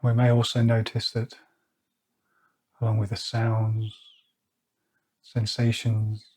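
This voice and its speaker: A man with a low, mellow voice leads a meditation at an unhurried pace. His delivery stays even and flat, and his tone is slightly husky. He leaves long, quiet pauses between phrases. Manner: slow, soft-spoken, with long pauses. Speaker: meditation guide. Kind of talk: guided meditation. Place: outdoors or by an open window with birds nearby.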